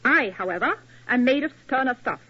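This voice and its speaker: A haughty, formidable old spinster. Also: female voice, high-pitched, expressive, breathy edge. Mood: imperious. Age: older. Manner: brisk, domineering, haughty. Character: stern, formidable older English spinster. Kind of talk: 1940s radio acting. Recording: lo-fi 1940s radio broadcast.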